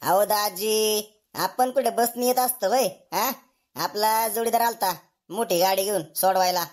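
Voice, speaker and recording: A woman's voice: mid-range voice, measured pace, a little mellow, close to an everyday voice. A woman voicing a boastful, shameless, freeloading drunkard brother-in-law with a whining manner in a comedy cartoon.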